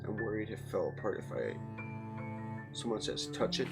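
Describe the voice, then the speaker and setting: A man's voice: fairly low pitch, very animated, normal speed. A man hosting a let's play, reading story narration aloud with some dramatic emphasis and casual commentary. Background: loud game music.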